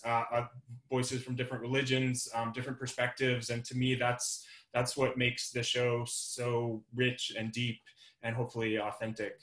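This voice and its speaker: A man talking as a showrunner in a panel discussion, measured and candid, recorded over a video call in an echoey room. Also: mid-range voice, a bit bright.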